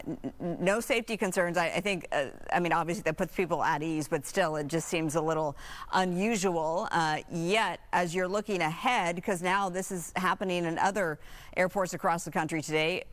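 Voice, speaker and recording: A woman's voice: on the low side, normal speed. An American woman anchoring a live TV news interview, asking questions in a conversational way.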